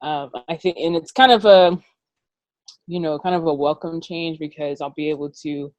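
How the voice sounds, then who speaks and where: A woman's voice: low-pitched, fairly even intonation, normal speed, no room sound. A woman in her twenties from Atlanta, talking casually on a panel with a hesitant manner and many fillers. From Atlanta, Georgia. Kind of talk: casual chat.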